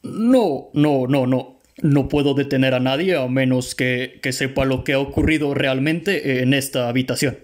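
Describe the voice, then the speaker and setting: A Mexican man acting in a student radio drama as a hesitant, flustered police officer with halting, stammered speech. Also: medium pitch, lightly acted.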